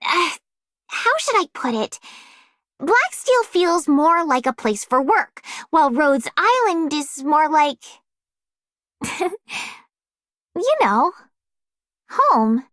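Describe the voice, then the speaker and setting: A woman's voice: high-pitched, big pitch swings, drawn-out words, long pauses, fairly bright tone, no echo, clean studio sound, heavily acted. An actress playing a cheerful, guileless young woman in a scripted game monologue. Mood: cheerful, hesitant.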